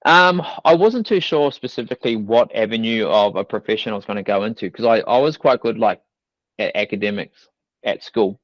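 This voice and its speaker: A man's voice: on the low side, expressive, fairly quick, a bit bright, no echo. A young man with a New Zealand accent, a fitness coach chatting as a podcast guest, rambling loosely and leaning on fillers like "you know" and "like". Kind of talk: casual podcast interview. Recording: dull dry studio sound.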